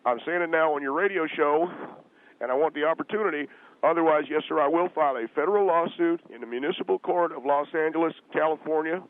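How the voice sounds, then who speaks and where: A man playing an aggrieved, insecure, pompous married man who calls into a radio show, halting and indignant, repeating himself stubbornly. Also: on the high side, dry recording, voice pushed up, close to an everyday voice. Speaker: radio show guest on the phone. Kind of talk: improvised comic radio interview. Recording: tinny narrow phone line on radio.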